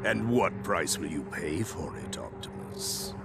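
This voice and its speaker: Casting: mercenary robot villain, low and gravelly, swooping theatrical mockery, game cutscene with loud score. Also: male voice, lively intonation, a little slow, exaggerated voice. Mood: mocking. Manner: mocking, theatrical, smug. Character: sardonic, greedy male robot bounty hunter villain. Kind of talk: video game cutscene voice acting. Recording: loud music under the voice.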